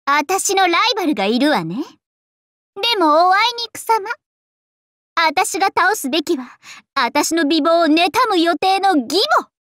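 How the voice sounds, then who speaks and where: Super high girly anime game dragon princess, vain and playful, voice swooping everywhere, dry recording. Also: lively intonation, moderate pace, fairly bright tone, no echo. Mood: haughty, teasing. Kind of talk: Japanese anime game character voice lines.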